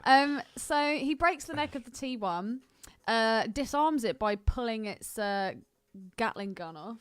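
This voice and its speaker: Woman, teasing, higher-pitched podcast co-host. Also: lively intonation, unhurried, clear, resonant tone. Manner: teasing, blunt, sweary. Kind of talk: casual film chat banter.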